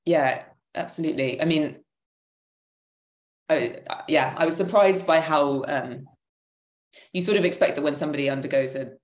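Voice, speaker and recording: A woman's voice: low voice, moderate pace, pauses often. A woman in her thirties giving reflective, hesitant answers as an interview guest on a video call, often correcting herself as she goes. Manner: hesitant, thoughtful, self-correcting. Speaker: interview guest, theatre maker. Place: living room at home, indoors, on a video call. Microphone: computer microphone on a video call. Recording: roomy, echoing video-call audio.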